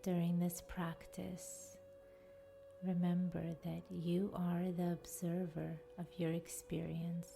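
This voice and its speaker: A woman with a soft, breathy voice that often nears a whisper, guiding a meditation in a soothing, deliberate manner. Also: on the low side, monotone. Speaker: podcast host and yoga nidra guide. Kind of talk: guided meditation narration. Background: faint music.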